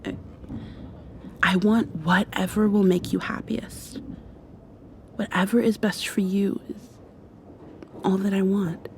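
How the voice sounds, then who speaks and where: A shy, tender young woman, acted out by a woman in an intimate ASMR roleplay, confiding slowly and haltingly in a soft, often whispered voice. It is mellow, with plenty of fry at the edges. Some noise sits behind her. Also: medium pitch, steady tone. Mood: tender, nervous. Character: shy, tender young mosquito girl confessing past heartbreak to girlfriend.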